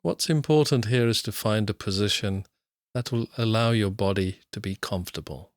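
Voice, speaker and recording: Male mindfulness teacher, lowish voice, dry close sound; calm, slow guided meditation delivery. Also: no room sound. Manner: slow, calm, soothing, with long pauses. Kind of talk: guided body scan meditation.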